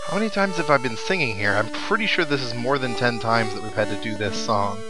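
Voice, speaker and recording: A man talks through a video game in a casual, chatty let's-play style. His voice sits at an ordinary pitch and he drops wry asides as he goes. Game music plays clearly behind him. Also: expressive, brisk. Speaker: let's player.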